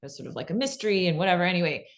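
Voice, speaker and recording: Low-voiced woman presenting a casual workshop. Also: lively intonation, racing. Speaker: podcast pitching workshop presenter. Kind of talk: casual instructional talk on a video call. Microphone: video call.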